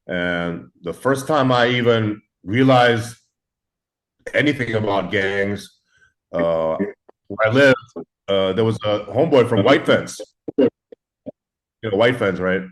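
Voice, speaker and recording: A man's voice: on the low side, slow pace, pauses often, poor audio. A man in his forties, raised in Los Angeles, telling his story as a guest in a laid-back way, full of fillers and swearing. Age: 40s. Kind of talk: casual storytelling.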